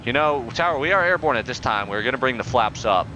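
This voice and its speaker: A young man playing a pilot in a flight game, using formal radio phrasing in a deadpan, increasingly exasperated way over a headset. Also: medium pitch, quick pace, dull audio, a little hiss or hum. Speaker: pilot player in a flight sim. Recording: game audio in background.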